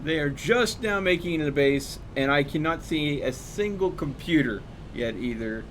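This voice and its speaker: A man's voice: on the high side, animated, moderate pace, slight background noise. A man chatting casually while streaming a game, thinking aloud and explaining numbers as he plays. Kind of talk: casual chat while gaming.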